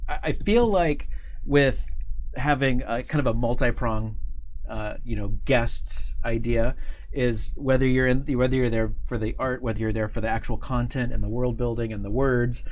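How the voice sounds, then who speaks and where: A man's voice: mid-range voice, normal speed, low-quality recording. A man hosting a livestream in casual, unscripted chat, talking in an enthusiastic, rambling way and often repeating himself. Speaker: host of a company livestream show. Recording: clearly audible background noise.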